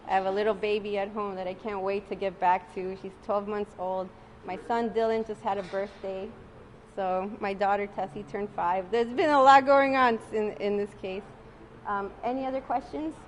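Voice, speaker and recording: A tired woman attorney giving a measured statement at a press conference. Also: mid-range voice, steady tone, a little hiss or hum, rough recording. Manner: measured, deliberate.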